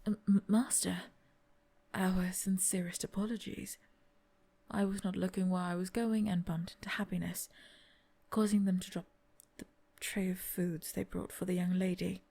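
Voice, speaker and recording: Woman voicing a masked butler, soft formal whisper, husky, warm and dark, roleplay audio. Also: on the low side, steady tone, moderate pace. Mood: hushed. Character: masked female butler, quiet and dutiful. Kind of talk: ASMR roleplay audio drama.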